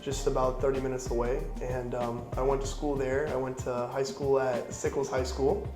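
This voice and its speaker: Young man with a flat, even voice. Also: medium pitch, moderate pace, reverberant, music in the background. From Florida, United States. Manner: relaxed, rambling, lots of "you know". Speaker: interviewee, young realtor. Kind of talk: casual interview answers.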